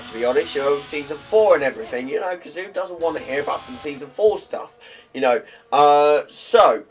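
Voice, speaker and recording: Croaky-voiced male league commentator, fairly high, casual and rambling, corrects himself often. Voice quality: slightly hoarse. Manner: chatty, rambling with fillers and self-corrections. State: slightly croaky voice. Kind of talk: sim racing commentary.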